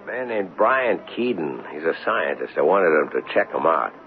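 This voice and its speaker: Low, gravelly American police sergeant. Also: male voice, sing-song, moderate pace. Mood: chastened. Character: stubborn male city police sergeant following his hunches. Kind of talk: 1970s American radio drama acting. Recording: muffled, hissy old radio broadcast.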